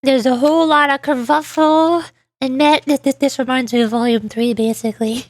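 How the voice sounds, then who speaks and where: A woman's voice: sits high, steady tone, normal speed, dry recording. A woman streaming as a VTuber, giving casual, playful and informal commentary on a game story. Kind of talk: casual game playthrough with read-aloud dialogue and commentary. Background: faint music.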